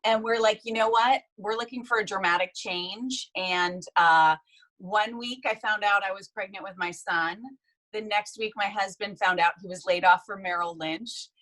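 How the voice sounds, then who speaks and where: A woman in her forties from the United States, a guest telling her life story in a casual, animated interview. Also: medium pitch, normal speed, low-quality recording.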